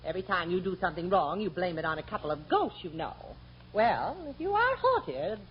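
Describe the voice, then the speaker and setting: Bossy wife, muffled old radio. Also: female voice, lowish voice, big pitch swings, a little fast, slight background noise. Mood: insistent. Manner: nagging, emphatic, theatrical. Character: bossy, scolding, superstitious middle-aged wife. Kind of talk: 1940s radio comedy acting.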